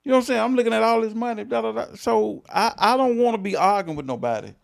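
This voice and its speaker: A pastor in his fifties co-hosting a casual talk show, emphatic and quick to repeat himself. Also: high voice, expressive, creaky. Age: 50s. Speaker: pastor and podcast co-host. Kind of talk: casual chat.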